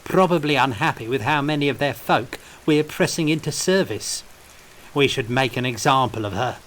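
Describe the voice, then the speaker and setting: A man's voice: medium pitch, moderate pace, a bit bright, slight background noise, voice pushed up, close to an everyday voice. A man voicing a malicious, growling soldier thug in an audiobook story. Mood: malicious, sneering.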